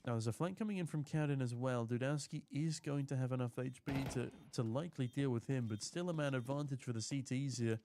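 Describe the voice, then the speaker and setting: A mid-pitched man casts a shooter match on his own, mixing quick play calls with jokey chat aimed at his viewers. His talk is casual and easygoing. Faint gunshots and game sounds sit under his voice. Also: warm tone, slight background noise. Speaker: esports caster. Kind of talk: solo esports commentary with chat reading.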